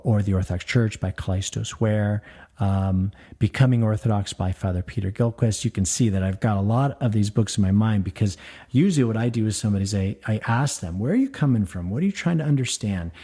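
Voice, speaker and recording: A man's voice: fairly low pitch, brisk, mellow. A man, a priest, hosting a call-in radio talk show in a friendly, chatty way, with frequent fillers. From United States.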